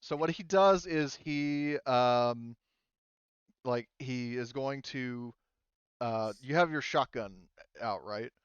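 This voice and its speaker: A man's voice: medium pitch, slow, clear, resonant tone, no echo, highs cut off. A man acting as game master, casually refereeing a tabletop game and explaining rules step by step.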